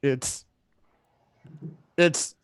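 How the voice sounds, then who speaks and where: A man's voice: extremely slow, no echo, clean studio sound. A man acting a frightened, desperate man who stammers and pleads his innocence in a radio play.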